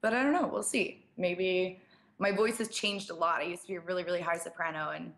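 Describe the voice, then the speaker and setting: A young woman, a DJ, chatting casually through a live-stream Q&A, slightly raspy and sprinkling her talk with "like". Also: lowish voice, fairly even intonation, fairly quick, fairly bright tone. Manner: chatty, fills speech with "like" and small laughs. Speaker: DJ and producer. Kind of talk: casual live-stream Q&A, reading viewer questions.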